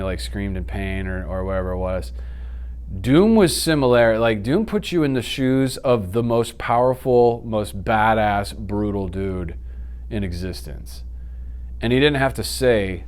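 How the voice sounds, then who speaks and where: A man, chatting casually off the cuff in a slangy, animated manner as an interview guest. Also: fairly low pitch, clean tone. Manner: animated, slangy, blurts sound effects. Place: small indoor office, seated.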